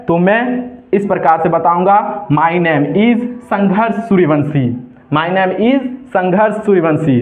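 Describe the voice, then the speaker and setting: A man from India teaching spoken English, explaining step by step and repeating example phrases slowly. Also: sits high. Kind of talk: spoken English lesson in Hindi. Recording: echoey room, tinny phone-like sound.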